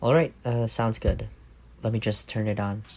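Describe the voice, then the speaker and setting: A man's voice: lowish voice, fairly even intonation, normal speed, darkish tone, slight background noise, close to an everyday voice. A man playing an affectionate, teasing young roommate in an intimate ASMR roleplay, speaking in a playful, tender way. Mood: easygoing.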